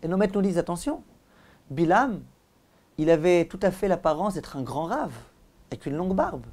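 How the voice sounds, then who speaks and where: A man's voice: high voice, sing-song, moderate pace, a little mellow. A man giving a religious lecture as a rabbi, speaking in an emphatic, didactic way with repeated phrases and direct questions to his listeners. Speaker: rabbi giving a Torah lesson.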